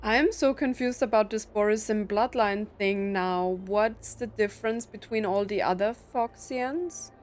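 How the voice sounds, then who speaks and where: A woman comments casually as she plays a story game. Her voice sits at an average pitch and she speaks slowly, thinking out loud with sympathy over the game's music. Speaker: gaming streamer reacting to story scenes. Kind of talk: casual live reaction commentary.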